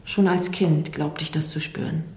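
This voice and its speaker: An actress playing a reflective grown daughter looking back on her father in a measured radio drama monologue. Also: low-pitched, steady tone, darkish tone, reverberant, lightly acted. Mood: wistful, pensive. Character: grown daughter recalling her withdrawn late father, pensive.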